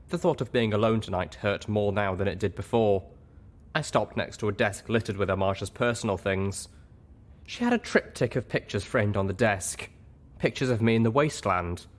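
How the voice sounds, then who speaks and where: A man narrating a first-person story in an expressive audiobook reading style. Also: fairly low pitch, moderate pace.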